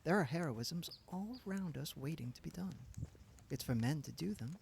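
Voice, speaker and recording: A man's voice: a little high, animated, normal speed, creaky, a little mellow, slight background noise, voice pushed up. A man voicing an earnest, idealistic young woman who speaks in a lofty, lightly reproving way, read as an audiobook character.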